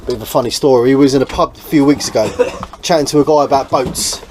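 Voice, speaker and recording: English man, casual DIY vlog host, informal blokey delivery, mid-pitched voice, faint location noise. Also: quick pace, darkish tone. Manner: informal, blokey, explaining as he works. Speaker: host of a boat restoration vlog. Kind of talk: casual hands-on vlog chat. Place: on and around a small boat.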